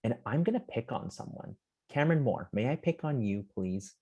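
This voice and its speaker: A man's voice: mid-range voice, animated, normal speed, mellow, husky, lo-fi sound. A man hosting an online panel in a casual, chummy way, putting questions to guests. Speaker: host of an online community panel call.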